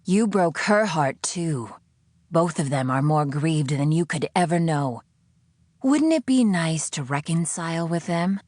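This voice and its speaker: A preachy, condescending captor, performed by a woman narrator, speaking with slow, sanctimonious deliberation in an audiobook. The voice is dry and close, lifted a little above a low natural register. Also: lively intonation, darkish tone, dry recording. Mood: preachy, coaxing. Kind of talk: audiobook character acting.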